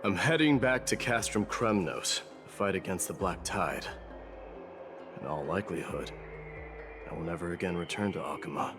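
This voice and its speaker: A man playing a proud, stoic warrior prince in a fantasy game, speaking gravely and formally. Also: sits low, lively intonation, normal speed. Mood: solemn, resolute. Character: proud, stoic warrior prince, demigod, resigned to his fate. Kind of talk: scripted fantasy game voice acting. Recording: music behind the voice.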